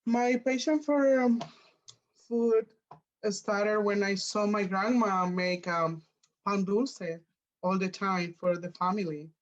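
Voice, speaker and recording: A woman from Mexico speaks slowly, with a Mexican Spanish accent and simple English. She pauses to find her words. The video call audio is dull and muffled. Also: medium pitch. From Oaxaca, Mexico. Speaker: guest chef.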